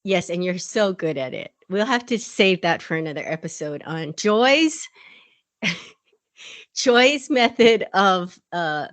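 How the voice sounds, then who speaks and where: Woman, podcast co-host, low voice, dry sound, casual rambling chat. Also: animated, slow pace, fairly bright tone, no echo. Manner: chatty, rambling, laughs often.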